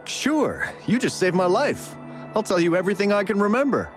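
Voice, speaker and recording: A man's voice: sits high, huge pitch swings, normal speed. Male game courier, chatty, shifty, theatrical, very varied intonation, faint background music. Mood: relieved, chirpy. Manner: theatrical, evasive, stammering when pressed. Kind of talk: video game character dialogue.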